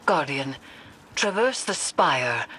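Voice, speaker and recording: A woman's voice: low-pitched, very animated, slow, background noise, heavily acted. An actress playing a grave, commanding witch in a video game, speaking formally and ominously. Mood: solemn, commanding. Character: grave, mystical woman witch, solemn and commanding. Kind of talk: video game character acting.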